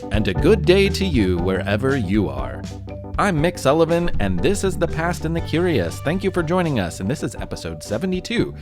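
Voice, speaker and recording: A man's voice: fairly high pitch, big pitch swings, brisk, over music. A man hosting and narrating a history podcast for children, reading a script in a conversational, playfully dramatic way.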